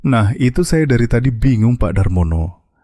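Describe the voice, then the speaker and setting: A man's voice: medium pitch, very animated, normal speed, warm tone, no echo, clean studio sound, close to an everyday voice. A man playing a polite humble satay seller. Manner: polite, deferential, eager. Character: humble middle-aged male satay seller, polite, desperate. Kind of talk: animated cartoon voice acting.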